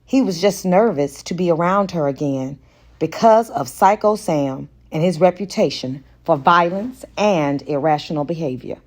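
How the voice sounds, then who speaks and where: A woman reading romance fiction aloud as an audiobook narrator, in a deliberate and expressive way. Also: low voice. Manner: deliberate, acts out dialogue. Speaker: audiobook reader.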